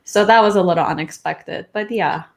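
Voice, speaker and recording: A woman's voice: mid-range voice, moderate pace. A young woman from Iran, an immigration lawyer, talking casually as an interview guest, laughing often and restarting her sentences.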